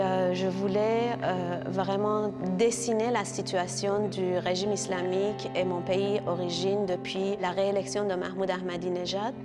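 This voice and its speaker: A woman's voice: mid-range voice, darkish tone, in a large hall. A woman, talking earnestly about her art with frequent hesitations. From Iran. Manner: earnest, hesitant with many filler sounds. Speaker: visual artist. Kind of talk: interview about her exhibition.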